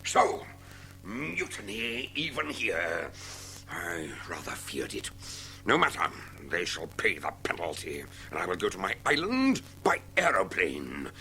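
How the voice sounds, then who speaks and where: A scheming gang leader with a low, creaky voice. He speaks deliberately, drawing out his words with smug, theatrical relish. His pitch swings widely as he gloats and mutters, in audiobook drama style. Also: sharp tone, a little hiss or hum, exaggerated voice, poor audio. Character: Abner Brown, scheming villainous male gang leader, smugly vengeful.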